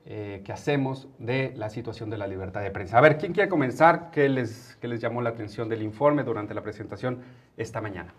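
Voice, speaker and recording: Mid-pitched Mexican male moderator. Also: brisk. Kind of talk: conversational panel moderation.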